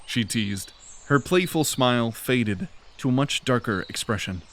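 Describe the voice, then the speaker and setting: A man narrating a fantasy audio drama in a measured, dramatic way. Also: medium pitch, lively intonation.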